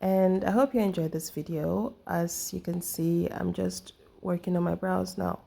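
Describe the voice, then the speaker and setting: Husky-voiced woman chatting through makeup. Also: lowish voice, fairly even intonation, measured pace, dark tone. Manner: chatty, rambling with fillers. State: husky from recovering from flu. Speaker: beauty vlogger. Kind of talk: casual makeup tutorial talk.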